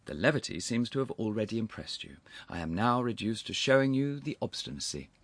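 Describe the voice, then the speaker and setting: A man voicing an affable, impudent young gentleman in a storybook, unflappable, courteous and easily conversational. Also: lowish voice, sing-song, brisk, dry recording, voice pushed up. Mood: affably obstinate. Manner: smooth, courteous, easy conversational cheek. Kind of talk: audiobook character voice.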